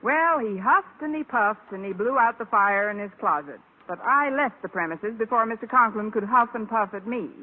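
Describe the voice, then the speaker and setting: A woman acting a wry, quick-witted schoolteacher with dry mock drama in an old radio sitcom. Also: a little high, animated. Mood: wry, aggrieved. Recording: tinny, phone-like old radio recording.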